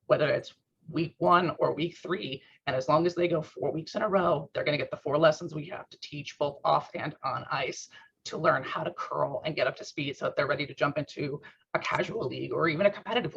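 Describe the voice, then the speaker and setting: A man with a fairly high voice runs through club announcements on a video call, loose and full of ums. His voice is gravelly and husky, strained from a workout. The call audio comes through muffled. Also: brisk, fairly bright tone, slight background noise. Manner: informal, rambling explanations with fillers. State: rough, strained voice after a workout. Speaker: club board secretary. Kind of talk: online meeting update. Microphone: computer mic on a video call.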